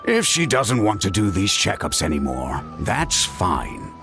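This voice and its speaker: Casting: protective grieving game dad, middle-aged, slow and halting, hugely expressive pitch swings. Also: male voice, over music, heavily acted. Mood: pleading, gentle. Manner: halting, earnest, emotional. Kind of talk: video game voice acting.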